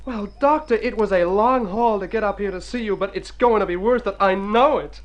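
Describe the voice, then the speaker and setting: A man's voice: high voice, brisk, close to an everyday voice. A fussy male hypochondriac played by an actor in an old radio drama. He speaks in pompous, wordy sentences with great earnestness, as if gloom were a point of pride. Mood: agitated, earnest. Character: fussy hypochondriac man of the world, cheerfully gloomy. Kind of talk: vintage radio drama acting.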